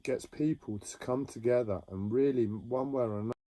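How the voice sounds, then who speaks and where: A man talking slowly and haltingly about his own experiences in a reflective monologue, with many pauses and restarts. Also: medium pitch, mellow, dry recording. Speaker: livestreamer sharing a personal story. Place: home.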